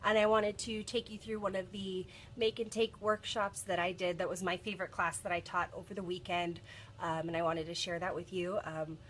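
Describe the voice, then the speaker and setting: A woman's voice: lowish voice, flat delivery, moderate pace, fairly bright tone, slight background noise. A woman in her thirties hosting a casual live stream from a cluttered office, a bit congested from a cold and chatty with lots of ums. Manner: chatty, many ums and restarts. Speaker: bead shop video host. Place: cluttered office workroom, indoors.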